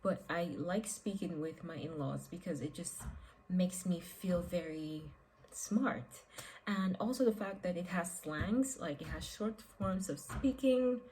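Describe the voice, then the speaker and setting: A woman's voice: lowish voice, a little slow, a little hiss or hum, rough recording. Chatty young woman from Nigeria. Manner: chatty and expressive, with frequent "like" and "um" fillers. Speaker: vlogger. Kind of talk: casual vlog talk to camera.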